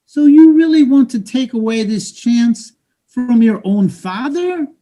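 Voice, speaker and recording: A man plays a middle-aged immigrant father in a staged play reading. He is proud and scheming, speaks slowly, and presses his case with emphatic pleading. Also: very high-pitched, expressive, mellow, rough recording.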